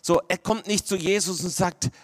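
Male pastor, slightly high voice, conversational sermon delivery with hushed emphasis. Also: fairly even intonation, normal speed. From Swabia, Germany.

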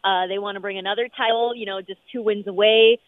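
A woman sports reporter calling in from an arena, upbeat and full of fillers, her voice going hoarse. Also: medium pitch, steady tone, no room sound. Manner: upbeat, rapid, many fillers. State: losing her voice. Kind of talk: phone-in sports radio chat. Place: noisy arena. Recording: tinny phone line.

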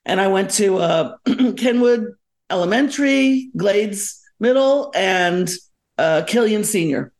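A woman's voice: mid-range voice, animated, slow pace, a little mellow. A middle-aged or older woman from South Florida, chatting casually as a podcast guest, digressing and correcting herself as she reminisces. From South Florida, United States. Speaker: podcast guest, musician and educator. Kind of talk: casual reminiscing interview chat.

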